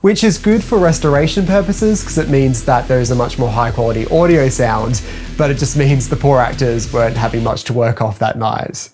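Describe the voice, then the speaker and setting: A man presents a scripted explainer in an informal voice. His pitch sits in a middle range, with faint music running behind him. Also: brisk. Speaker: video host. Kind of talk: scripted narration.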